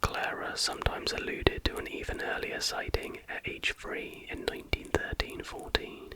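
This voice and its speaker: A man reading aloud in a soft, breathy, unvoiced whisper as an informal ASMR host. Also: moderate pace.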